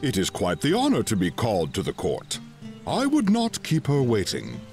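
A lofty tree-folk ambassador. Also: male voice, mid-range voice, wildly swooping pitch, normal speed, darkish tone, soft background music. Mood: formal. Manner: formal, lofty diplomatic speech. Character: stately male tree-folk ambassador, haughty. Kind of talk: video game dialogue.

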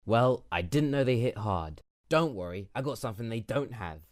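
A man's voice: medium pitch, expressive, moderate pace, lightly acted. A man voicing a brash, dim young hero in an amateur anime parody dub. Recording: music over the dub audio.

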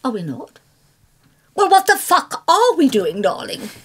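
A woman's voice: high voice, wildly swooping pitch, a bit bright, full character voice. An actress playing a scatty, stubborn old woman who mutters, repeats herself and swears in a screen comedy. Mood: muttering, irritated. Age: older. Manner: slow, vague, repetitive muttering. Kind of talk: naturalistic screen comedy acting. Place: indoor office meeting room.

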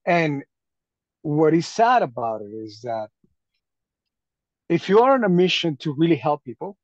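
A man from Venezuela talking casually on a group video call, haltingly, often repeating his phrases. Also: on the high side, sing-song, dry recording. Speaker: call participant. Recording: dull lo-fi call audio.

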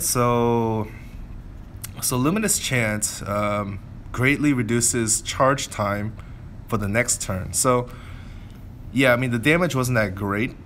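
A man's voice: medium pitch, fairly bright tone, slight background noise. A man commentating on gameplay in a casual way, thinking aloud and explaining as he goes.

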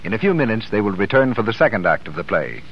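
A male announcer speaks on a dull old 1930s radio recording with faint music. His voice is fairly low and very animated, with a formal, polished salesman's delivery. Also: fast, a little hiss or hum.

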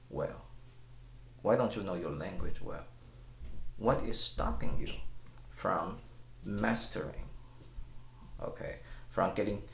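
A middle-aged man with Chinese as his first language talks to camera from a small room at home, his voice very deep and gravelly. He circles back on his words and keeps pressing the listener with questions. The webcam catches an echo off the walls and faint guitar. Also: a little hiss or hum. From China. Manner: rambling, repeats words, asks the viewer pointed questions. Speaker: guitar teacher. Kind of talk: casual talk to camera. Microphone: webcam at close range.

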